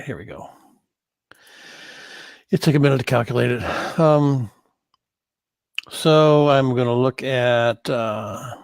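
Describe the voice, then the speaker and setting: Man, dry-sounding, halting tutorial delivery. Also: mid-range voice, a little mellow, no echo. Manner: halting, hesitant delivery with self-corrections. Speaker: course instructor in church sound. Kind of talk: informal screen-share software tutorial, thinking aloud.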